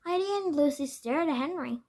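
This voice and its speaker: A woman reading a children's storybook aloud as narration, with halting delivery and corrected stumbles. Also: sits high, animated, darkish tone, a little hiss or hum. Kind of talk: scripted children's book narration.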